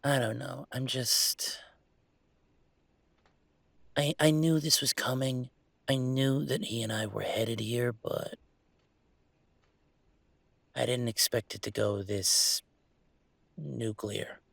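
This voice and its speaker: A man playing an upset young werewolf venting about his father in an intimate audio roleplay, speaking in a halting, emotional, rambling way. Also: mid-range voice, steady tone, creaky, fairly bright tone, no echo, heavily acted. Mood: weary, hurt.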